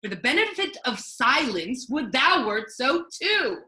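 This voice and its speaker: A woman playing an imperious, sardonic duke in a Shakespeare reading, speaking in a deliberate, declamatory way. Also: high voice, big pitch swings, sharp tone, heavily acted. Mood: commanding. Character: imperious duke, a ruling man, sardonic judge.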